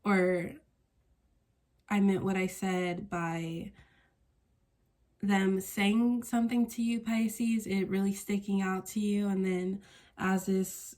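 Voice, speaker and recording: A woman's voice: medium pitch, flat delivery, slow, pauses often, darkish tone. Congested woman giving a rambling tarot reading. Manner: meandering, searching for words, self-correcting. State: congested, stuffy nose. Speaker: tarot card reader. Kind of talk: casual channeled tarot reading.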